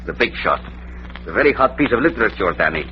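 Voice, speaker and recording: Cocky small-time American racketeer, low-pitched, slippery and slangy, vintage radio sound with faint hiss. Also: male voice, very animated, fast talker. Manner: slangy, evasive, glib. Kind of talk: 1940s radio crime drama acting. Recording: muffled old radio recording, faint noise.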